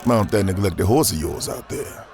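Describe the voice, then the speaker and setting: An actor playing a cocky, dangerous gunslinger in a Western audio drama, with a gravelly Southern American drawl and theatrical, taunting delivery. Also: male voice, low voice, moderate pace, a little mellow, natural voice. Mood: cool, taunting.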